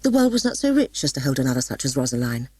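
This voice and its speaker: Low, husky woman narrating a story. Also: fast, no echo. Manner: dramatic, expressive storytelling. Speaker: audiobook narrator.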